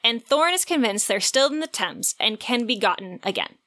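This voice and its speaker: Bright, higher-pitched woman podcaster. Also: animated, moderate pace. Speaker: podcast co-host. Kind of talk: lively retelling of a romance novel plot.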